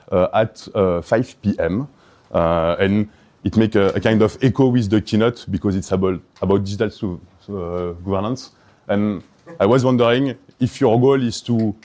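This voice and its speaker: A man's voice: on the low side, a little mellow. A man from Belgium with a Belgian French accent, giving an enthusiastic, hesitant conference talk full of fillers and restarts. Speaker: conference speaker.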